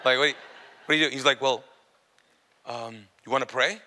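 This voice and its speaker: A man's voice: medium pitch, steady tone, fairly quick, sharp tone. A man whose first language is Urdu tells a personal testimony to an audience in an informal, comic way, acting out dialogue and repeating phrases for emphasis. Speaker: speaker giving testimony to an audience. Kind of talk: animated storytelling sermon, acting out dialogue. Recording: long hall reverb, live stage.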